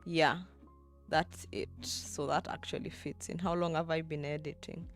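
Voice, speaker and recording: A young woman in her twenties, live-streaming as she edits and chatting with viewers, thinking aloud with soft laughs. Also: deep voice, flat delivery, moderate pace, faint music behind. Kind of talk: livestream, talking to chat while editing.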